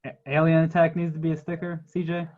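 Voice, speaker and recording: A man's voice: fairly high pitch, monotone, quick pace, a little mellow. Dull-sounding man hosting an online call. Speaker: meetup host and moderator. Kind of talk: casual chat.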